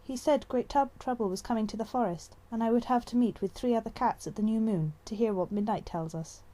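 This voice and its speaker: A woman's voice: a little high, fairly quick, dark tone, voice pushed up, close to an everyday voice, rough recording. A woman voicing an anxious, earnest young female cat in an audiobook, uncertain and flustered.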